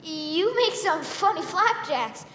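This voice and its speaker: A woman's voice: very high-pitched, lively intonation, takes it slowly, a bit bright, reverberant, in a large hall, a little hiss or hum, full character voice. A woman voicing a hungry, cheerfully naive young boy in a cartoon, talking in an eager, folksy way. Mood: puzzled. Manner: eager, folksy boyish talk. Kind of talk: cartoon voice acting.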